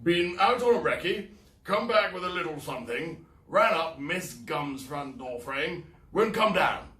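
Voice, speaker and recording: Clipped, bellowing retired major read by a man, slow and emphatic. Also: a little high, lively intonation, sharp tone, pitched above the performer's own voice. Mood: blustering. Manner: clipped, bellowing military bluster. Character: blustering retired military major, older man. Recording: roomy echo.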